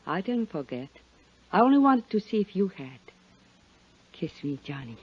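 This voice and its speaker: An actress playing a seductive, shrewd and willful mysterious woman with deliberate, coaxing speech in 1950s radio style. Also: fairly low pitch, big pitch swings, a little hiss or hum. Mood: soft, seductive. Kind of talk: 1950s radio drama acting. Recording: dull 1950s radio recording.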